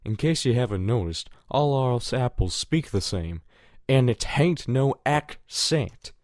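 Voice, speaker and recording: A man's voice: medium pitch, animated, unhurried, a little mellow, no room sound, studio quality, close to an everyday voice. Man voicing a folksy Southern farm stallion. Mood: stubborn. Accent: American Southern. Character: male orange farm pony, rustic, stubborn and easygoing. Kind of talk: fan audio drama acting.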